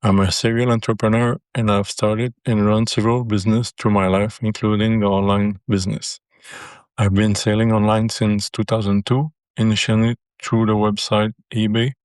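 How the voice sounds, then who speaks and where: An older man from Quebec speaks English with a Québécois French accent, his phrasing careful and a little halting. His voice sits low and stays level, recorded close and dry. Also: level tone, dry recording. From Quebec, Canada. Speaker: business seller. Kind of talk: interview answers.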